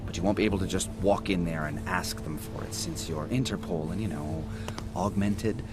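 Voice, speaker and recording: An actor playing a chatty, jittery, defensive forensic investigator in a video game, excitable and rambling with hesitations. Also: male voice, low-pitched, moderate pace, heavy background noise, lightly acted. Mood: earnest, conspiratorial. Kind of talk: video game cutscene acting.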